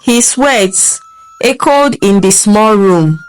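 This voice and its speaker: A woman narrating a folktale in a slow, deliberate reading voice. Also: medium pitch, expressive, clean tone. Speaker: storyteller. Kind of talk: scripted story narration.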